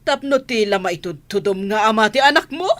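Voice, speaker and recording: A woman's voice: medium pitch, lively intonation, a little fast, a bit bright, close to an everyday voice. A woman with an Ilocano accent playing an imperious, scolding rich madam who bosses her servants in a radio drama. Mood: angry, contemptuous. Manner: haughty, commanding, scolding.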